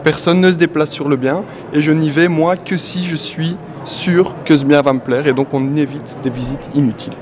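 A man with a Belgian French accent, answering an interviewer as a company guest. He talks with enthusiasm and a sales pitch, with many "euh" fillers. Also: fairly even intonation, moderate pace, few pauses, crowd noise. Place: event venue, among people. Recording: clear background noise.

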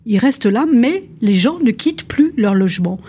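A woman with a fairly high but soft-edged, mellow voice that has a husky grain. She explains her points analytically as a panel commentator. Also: expressive, normal speed. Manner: explanatory, cites figures.

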